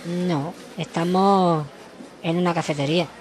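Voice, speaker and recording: A woman's voice: fairly low pitch, steady tone, unhurried, darkish tone, natural voice. A woman playing a naive, good-natured family man in a comic radio play, with an accent from Spain and an exclamatory delivery. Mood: friendly, curious. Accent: Spanish (Spain).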